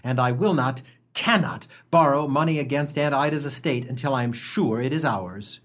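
A man playing a cautious, wryly exasperated husband in a humorous radio play, speaking in a measured, dry way. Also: medium pitch, close to an everyday voice. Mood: wry exasperation. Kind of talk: humorous stage-style radio play acting.

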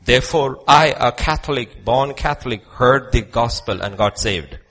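A man with an Indian accent preaching a sermon emphatically, leaning on rhetorical questions and repetition and moving between loud declamation and quieter asides. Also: medium pitch, moderate pace.

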